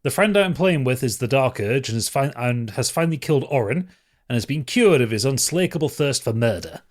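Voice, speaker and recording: Guy on a podcast reading out messages and chatting, ordinary pitch, dry and a bit sweary. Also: lively intonation, brisk. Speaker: podcast co-host. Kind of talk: casual chat, reading out listener feedback.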